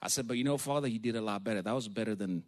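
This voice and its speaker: A man from Africa, a preacher giving a sermon in a lively, joking, storytelling way and calling for amens. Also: fairly low pitch, racing.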